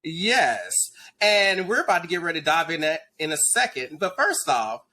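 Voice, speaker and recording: A man's voice: fairly high pitch, sing-song, moderate pace, pushed and bright. A man in his thirties from Dallas hosting a casual interview show over a video call, teasing and gossipy in manner. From Dallas, Texas. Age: 30s. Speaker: podcast host.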